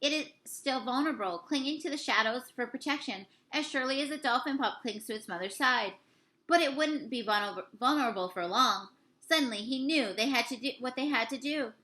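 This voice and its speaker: Female storybook reader, forceful bright tone, slightly high pitch, dramatic and deliberate, small stumbles. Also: low-quality recording. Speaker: teacher reading a novel aloud. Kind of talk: expressive storybook narration.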